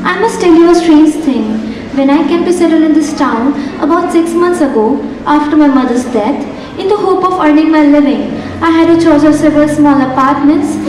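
A woman's voice: high-pitched, noisy background, close to an everyday voice. A young woman playing a gracious young female singer on a school stage, speaking in a polite, formal way. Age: young adult. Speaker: student actress. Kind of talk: stage acting in a school play. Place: large theatre stage. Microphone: far stage mic. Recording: echoey hall from far off.

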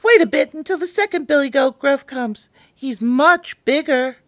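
A woman's voice: moderate pace, a bit bright, dry recording, clean studio sound. A woman voicing the tiniest young billy goat in a storybook, with a small, meek, pleading voice. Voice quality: small, high voice. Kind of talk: storybook character voice.

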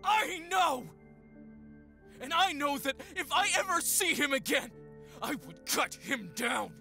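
A man's voice: very high-pitched, expressive, slow, vocal fry, bright, forceful tone, soft background music, exaggerated voice. An actor playing a grieving, vengeful young man in an audio drama, speaking with emotional intensity. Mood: anguished, vengeful.

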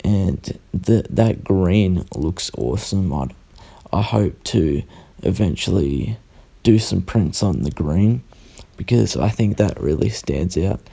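A young man, sounding croaky and tired, rambling through a casual unboxing voice-over at home and correcting himself as he goes. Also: deep voice, animated, mellow. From Australia. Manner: rambling, self-correcting, unhurried. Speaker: sticker artist vlogger.

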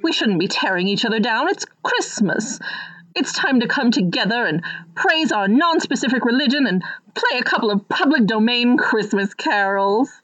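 A woman's voice: a little high, lively intonation, moderate pace, a bit bright. A woman playing a preachy small-town peacemaker with a mock-earnest manner in a comic radio play. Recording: distant from the mic.

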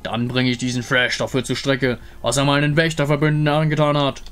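Ein Mann spricht einen stolzen, prahlerischen Löwenkrieger in einem Fantasyspiel, der von sich in der dritten Person redet. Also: male voice, medium pitch, level tone, fairly quick, fairly bright tone, clean tone, close to an everyday voice. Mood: triumphant. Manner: speaks of himself in third person, boastful. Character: proud boastful male lion-beast hunter.

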